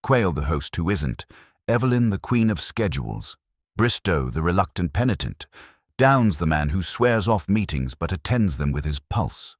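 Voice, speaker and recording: Guy reading a wry watchful detective sidekick, really deep voice, clipped hardboiled lines, audiobook. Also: sing-song, normal speed, dry recording, studio quality, exaggerated voice. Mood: brisk, reporting. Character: brooding, curt male detective's assistant, wry and watchful.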